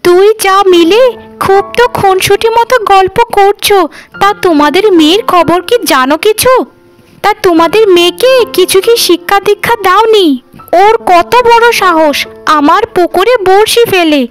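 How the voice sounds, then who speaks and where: A woman's voice: extremely high pitch, normal speed, soft background music. A woman voicing a haughty, boastful well-off housewife in a cartoon, talking down to others in a condescending, gloating way. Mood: indignant, scolding. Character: haughty, boastful well-off village housewife, mocking poor neighbours. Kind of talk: cartoon voice acting.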